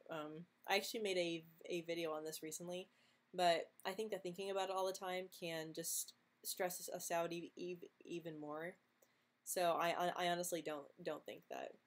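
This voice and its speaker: A young woman who stutters, answering viewer questions in a casual live stream, speaking softly with frequent repeated sounds and light laughs. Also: on the low side. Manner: soft-spoken, laughs lightly between answers.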